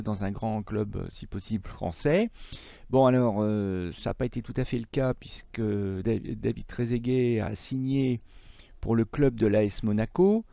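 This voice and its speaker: A man's voice: medium pitch, creaky, warm tone, dry recording, studio quality. A man giving a recorded lesson as a middle school teacher, explaining didactically and stressing key points. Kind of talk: recorded lecture for students.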